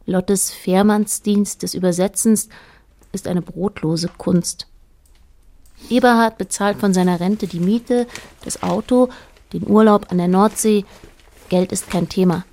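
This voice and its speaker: An actress playing a detached, observant narrator in a radio drama, speaking in a measured, matter-of-fact way. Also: female voice, medium pitch, dark tone, natural voice.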